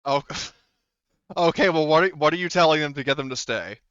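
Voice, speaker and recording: A man's voice: a little high, normal speed, a bit bright, clear, resonant tone, dry recording, muffled recording. A man working as game master, narrating a tabletop roleplaying game off the cuff in a casual, profane way.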